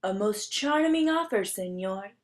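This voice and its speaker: A dainty young cockroach lady from a storybook, performed by a woman. She is proud and prim, with a dry, ladylike delivery. Her words come unhurried. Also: mid-range voice, lively intonation, close to an everyday voice. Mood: dry disdain.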